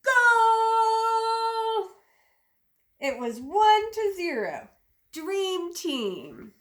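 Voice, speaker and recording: A woman reads a picture book to children as a teacher. Her voice is very high, with lively swings in pitch and an unhurried pace. She is expressive and leans on the sound words. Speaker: teacher reading aloud. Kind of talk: animated children's storybook read-aloud.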